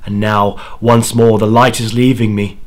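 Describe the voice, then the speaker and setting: Dying old grandfather acted by a man: low, very slow, halting, haunted confession for a horror story. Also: level tone, lightly acted. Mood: sorrowful confession. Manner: halting, repeats words, dramatic. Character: anguished dying old grandfather confessing his terrible bargain. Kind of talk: dramatic first-person horror monologue.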